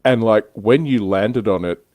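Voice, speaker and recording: A man's voice: on the low side, measured pace, darkish tone, no room sound. A man hosting a casual hobby livestream, telling stories in an explanatory way with some swearing. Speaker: livestream host.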